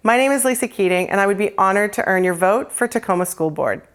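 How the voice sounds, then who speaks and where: A woman's voice: medium pitch, animated, fast. A woman delivering a prepared campaign statement as a school board candidate.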